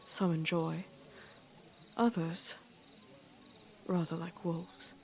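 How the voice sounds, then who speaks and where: A woman playing an aging, regal queen and mother in a fantasy audio drama, speaking in a measured, deliberate and wry way. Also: lowish voice, steady tone, slight background noise. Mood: grave, worried. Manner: measured, deliberate, dryly teasing.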